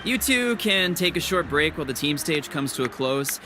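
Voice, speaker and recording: Formal tournament official with lively intonation. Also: male voice, a little high, normal speed, a crowd in the background. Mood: composed, well-wishing. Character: composed, official adult male tournament announcer. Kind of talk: video game voice acting. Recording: music behind the voice.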